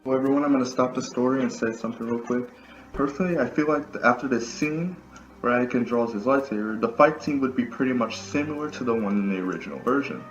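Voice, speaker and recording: A man narrating his own fan story in an earnest, slightly halting casual way. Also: mid-range voice, highs cut off. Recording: echoey room with music behind.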